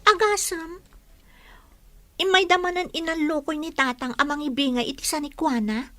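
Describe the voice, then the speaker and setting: A woman's voice: high-pitched, moderate pace, sharp tone, dry recording. A woman playing a worried young village daughter with an Ilocano accent, confiding earnestly in a radio drama. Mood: worried, indignant.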